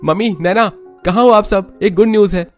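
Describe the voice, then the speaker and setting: A man as a young cartoon husband, cheerful, affectionate, higher-pitched, quick, music behind. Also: lightly acted. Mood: excited. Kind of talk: cartoon story character voice.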